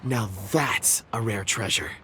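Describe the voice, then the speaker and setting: An actor playing an affable, forgetful young rock expert in an anime game, easygoing, polite and articulate. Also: male voice, lowish voice, slow, bright, forceful tone, slight background noise. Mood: admiring. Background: game music.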